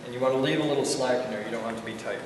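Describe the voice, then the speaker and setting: A man's voice: medium pitch, racing. Man demonstrating gear in a very echoey room. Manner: informal, joking, step-by-step. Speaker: instructor, video host. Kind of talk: casual hands-on demonstration. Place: indoor room. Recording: very echoey room, distant mic, noisy.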